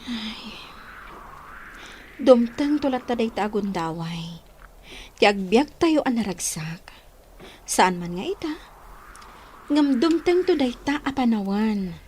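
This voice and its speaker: An actress playing an anxious, loyal young village girl with a fretful, breathy delivery in an Ilocano radio drama. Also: fairly high pitch, sing-song, slow, long pauses, a little mellow, slight background noise. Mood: wistful, hushed.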